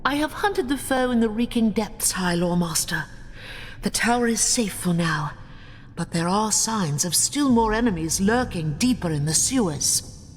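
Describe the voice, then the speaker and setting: A woman's voice: medium pitch, lively intonation, a little slow, a little hiss or hum, lightly acted. An actress playing a young female wood elf warrior, cool, terse and determined. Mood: resolute. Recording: reverberant with game music behind.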